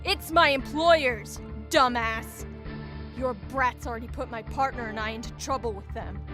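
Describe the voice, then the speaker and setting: A sneering, foul-mouthed captive woman voiced by an actress. Her voice is high and biting, with clear music playing under it. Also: a little slow, lightly acted. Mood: scornful. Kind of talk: fan audio drama acting.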